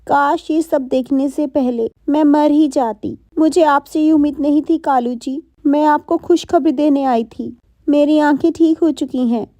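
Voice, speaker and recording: A woman's voice: high-pitched, mellow, dry recording, lo-fi sound. A woman voicing a meek, devoted blind wife bird in a cartoon, deferential and plaintive.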